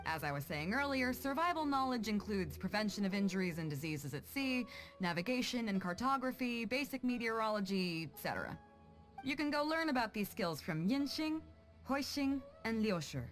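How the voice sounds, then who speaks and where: A woman's voice: on the high side, big pitch swings, normal speed. A voice actress playing a proud, confident female sea captain with a commanding, teasing way of talking in a video game. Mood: confident, instructive. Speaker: ship captain character. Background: background music.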